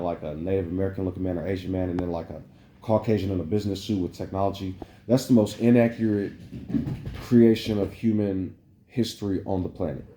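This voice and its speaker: A man's voice: deep voice, mellow, a little hiss or hum. A man delivering a meandering, preachy spiritual monologue to camera, full of "like" fillers. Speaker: vlogger. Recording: roomy echo.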